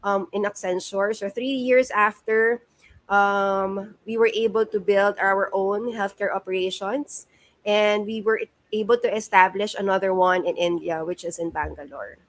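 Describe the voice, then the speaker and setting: A woman in her thirties with a Filipino accent, giving a career presentation to students, halting often on "um" and mixing in Tagalog. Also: mid-range voice, fairly even intonation. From Philippines. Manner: halting, frequent "um" fillers, mixes in Tagalog. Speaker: guest speaker at a career talk. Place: not present in the room, speaking remotely.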